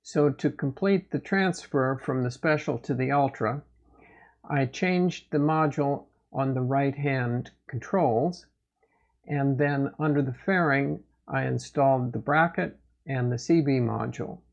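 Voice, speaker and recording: A man hosting a gear review channel, giving a product update in a plain, matter-of-fact, measured way. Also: mid-range voice, gravelly, dull audio.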